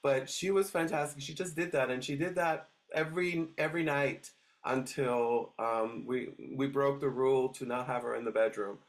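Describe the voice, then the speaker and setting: A man's voice: mid-range voice, normal speed. A middle-aged man from Switzerland, a dog trainer guest telling stories in a casual interview, hesitant and full of restarts. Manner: hesitant, many restarts and fillers.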